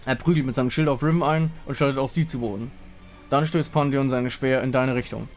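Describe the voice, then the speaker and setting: A man's voice: mid-range voice, fairly even intonation, brisk. A man narrating a fantasy game story in a dramatic scripted style. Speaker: narrator reading visual novel dialogue. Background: music.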